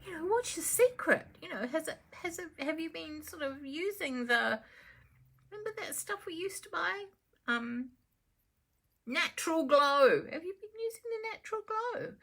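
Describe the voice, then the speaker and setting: An awestruck young woman, voiced by a woman storyteller, gushing breathlessly with eager questions. Her voice jumps high and bright with excitement, tumbling out quickly. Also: gravelly, heavily acted.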